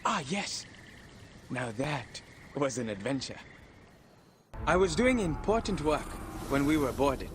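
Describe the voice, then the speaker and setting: An actor playing a boastful, cheeky thief in a video game, telling tall tales in a theatrical, self-dramatizing way. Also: male voice, medium pitch, sing-song, slow, long pauses, slight background noise. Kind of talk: video game cutscene acting. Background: music.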